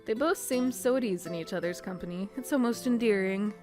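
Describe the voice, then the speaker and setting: A woman's voice: mid-range voice, brisk. Woman streaming a game over music. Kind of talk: casual playthrough commentary.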